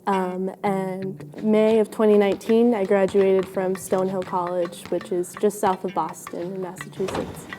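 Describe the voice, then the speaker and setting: A woman's voice: medium pitch, level tone, moderate pace, few pauses, noisy background. A young woman from Maine, sincerely describing her volunteer role. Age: young adult. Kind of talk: testimonial interview.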